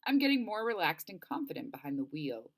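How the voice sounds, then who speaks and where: A woman with a low voice reads a novel aloud. Her storytelling is dramatic and expressive, with pitch that moves up and down freely. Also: fairly quick, vocal fry. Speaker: audiobook-style reader. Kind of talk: read-aloud narration of a novel.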